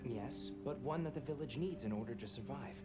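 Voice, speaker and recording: A man's voice: mid-range voice, brisk, natural voice. A man playing a sincere, hospitable villager with a formal way of talking in a video game. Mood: earnest, hopeful. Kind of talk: video game cutscene acting. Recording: music behind. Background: music.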